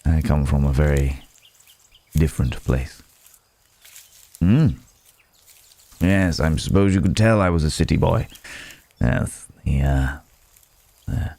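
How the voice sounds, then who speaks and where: A man's voice: very deep voice, warm tone. A man playing a courteous, guarded werewolf from the city in an intimate ASMR roleplay, speaking in hesitant, formal, polite phrases. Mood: charming, apologetic.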